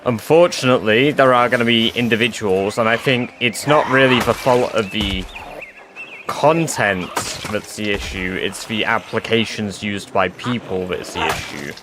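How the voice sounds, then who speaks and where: A man's voice: on the low side, moderate pace, background noise. A man with an English accent chatting loosely with viewers on a live stream, full of fillers and casual swearing. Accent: English, mixed with northern English touches. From England.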